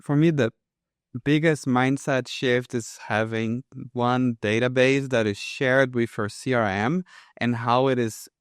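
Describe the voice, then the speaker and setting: A man's voice: medium pitch, steady tone, measured pace, smooth, dry recording, studio quality. A young adult man with a Brazilian accent, chatting casually about work as a co-host. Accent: Brazilian Portuguese. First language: Portuguese.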